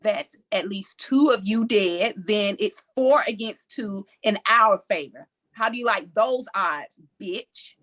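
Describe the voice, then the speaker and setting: A woman playing a brash, foul-mouthed, scheming tough criminal at a script table read, blunt and sassy, with a gravelly voice. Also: mid-range voice, takes it slowly, hardly any pauses, fairly bright tone. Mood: defiant, threatening.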